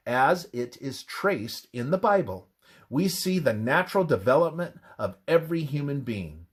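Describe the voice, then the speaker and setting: A man reading scripted religious narration in a solemn, measured, emphatic manner. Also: fairly high pitch, breathy edge.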